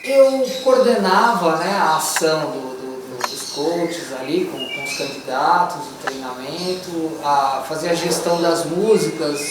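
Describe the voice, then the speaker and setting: A Brazilian man talking casually in a halting way, searching for his words. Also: fairly high pitch. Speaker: vocal coaching coordinator. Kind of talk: casual chat. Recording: very echoey room, distant, noisy.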